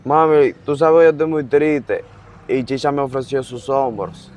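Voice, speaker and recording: Mopey grown son, deep put-on voice, droopy and self-pitying. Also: female voice, a little slow, a little mellow, slight background noise. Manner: mopey, whiny. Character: sulking young adult son, moping.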